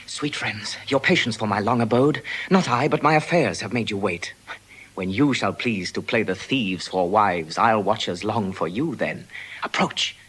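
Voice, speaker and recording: An actor playing an ardent young gentleman lover, speaking Shakespearean verse. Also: fairly low pitch, normal speed, a little hiss or hum, close to an everyday voice, rough recording. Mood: genial, amorous. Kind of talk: classical Shakespearean verse acting.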